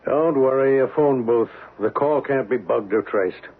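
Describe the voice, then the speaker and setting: An actor playing a cocky American blackmailer in a radio drama, brash, terse and calculating. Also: male voice, mid-range voice, unhurried, breathy edge. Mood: businesslike, demanding. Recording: muffled phone-like old radio recording.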